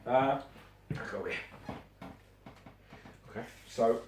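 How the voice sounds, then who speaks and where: A man's voice: mid-range voice, slow, darkish tone, slight background noise. A man reviewing collectible statues in casual chat, blunt and swearing casually. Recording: echoey room, mic at a distance.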